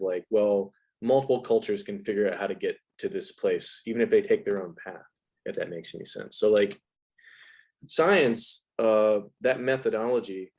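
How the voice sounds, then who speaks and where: Guy with a fairly low voice, chatty explainer, guest on an interview. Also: a little mellow. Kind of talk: casual explanatory interview talk.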